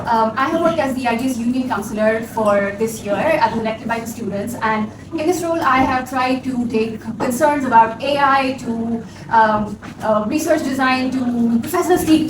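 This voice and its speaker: Student woman giving a campaign speech, lots of ums, slightly high voice, echoey room. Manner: hesitant, many fillers. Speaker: election candidate, student. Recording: echoey room, far mic, background noise.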